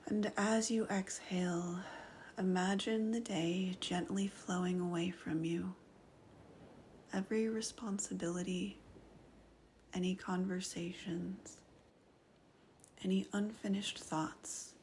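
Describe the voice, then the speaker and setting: A woman guiding a meditation in a soft voice that often slips into a whisper. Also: lowish voice, steady tone, takes it slowly, long pauses, a little hiss or hum. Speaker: meditation guide. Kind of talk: guided meditation narration.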